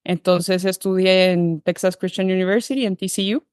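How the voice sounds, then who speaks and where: A woman's voice: fairly low pitch, fairly even intonation, moderate pace, a little mellow, clear, resonant tone, dry recording, studio quality. A woman from Guatemala, a behavior analyst, telling her career path in an interview, thoughtful and full of restarts. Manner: thoughtful, many fillers and restarts. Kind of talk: relaxed interview conversation.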